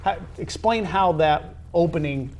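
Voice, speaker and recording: A man hosting a sports interview, asking long conversational questions in halting, wordy phrasing with repeated words. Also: fairly high pitch, a little mellow. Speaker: interview host.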